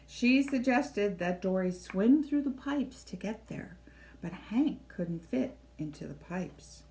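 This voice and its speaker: A woman reading a children's story aloud in an expressive, measured storytelling style, with deliberate phrasing. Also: mid-range voice. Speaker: library storybook reader. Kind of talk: expressive scripted read-aloud narration for children.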